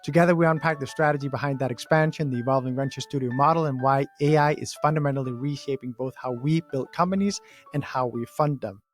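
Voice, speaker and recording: A man hosting a podcast, reading a prepared introduction and then asking his guest questions in a deliberate, articulate way. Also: mid-range voice, soft background music. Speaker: podcast host.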